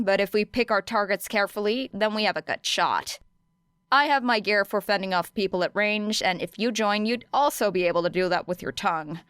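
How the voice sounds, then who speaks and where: A woman's voice: medium pitch, a bit bright, clear, resonant tone, dry recording, clean studio sound, lightly acted. A woman voicing a scrappy, determined teenage girl in an audiobook, casual and blunt in manner.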